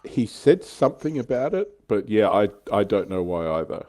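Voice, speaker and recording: A man's voice: fairly low pitch, sing-song, normal speed, mellow. A man hosting a livestream in casual hobby chat, with a wry, offhand manner. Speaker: livestream host.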